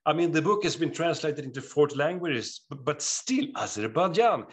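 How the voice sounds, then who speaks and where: A middle-aged man with a Swedish accent, an author talking in an interview, earnest and animated, often restarting his sentences. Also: fairly high pitch, normal speed. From Sweden. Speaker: author guest. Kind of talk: interview conversation.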